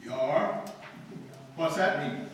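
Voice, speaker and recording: A man's voice: fairly high pitch, lively intonation, extremely slow. A man acting in a 1940s-style radio drama as a stiff, humorless, slightly jealous fiancé. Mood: puzzled. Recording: echoey noisy hall from far off.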